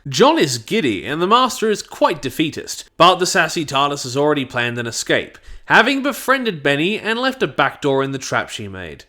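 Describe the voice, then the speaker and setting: A man with a fairly high voice delivers scripted review narration. His pitch moves around a great deal, giving the reading an animated, lively sound as he hosts. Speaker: reviewer, channel host.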